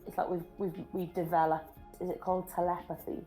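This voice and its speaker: A Welsh woman chats on a live stream in stop-start phrases, often restarting mid-thought. Her voice sits a bit low for a woman. Faint music plays underneath. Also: little pitch movement, mellow, rough recording. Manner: halting, restarts, laughs easily. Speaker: co-host. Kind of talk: casual chat on a live stream.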